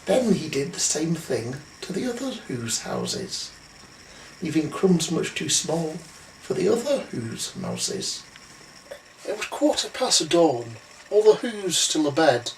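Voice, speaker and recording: Male storybook narrator: theatrical, slow-paced, highly varied pitch, recorded at a slight distance with some noise. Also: very animated. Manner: dramatic, theatrical. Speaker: story reader. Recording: roomy, distant, faintly noisy recording.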